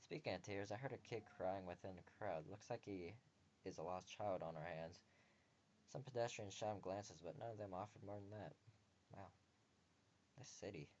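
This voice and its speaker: A man with a fairly low voice reads a visual novel aloud, breaking in with crude jokes and quiet muttered asides. The recording sounds dull and muffled, like a basic home setup. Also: moderate pace, a little hiss or hum.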